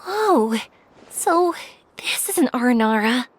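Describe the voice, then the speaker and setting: An actress playing a kind, spirited young woman in a video game, speaking earnestly and gently over music. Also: sits high, animated, slow, a little hiss or hum. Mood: amazed.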